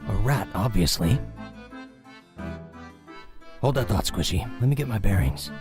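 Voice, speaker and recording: A man's voice: on the low side, lively intonation, a little slow. A man voicing a cocky, sarcastic rat detective in an indie web cartoon, breezy in manner. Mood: cocky, dismissive. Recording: music under the voice.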